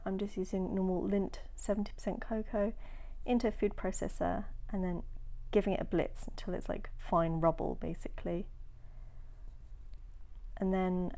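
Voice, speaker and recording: A woman narrating a home baking video in a chatty, relaxed way, sounding a little nasal from a cold, sometimes dropping into soft whispered asides. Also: medium pitch. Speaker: home baker. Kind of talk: casual baking voice-over.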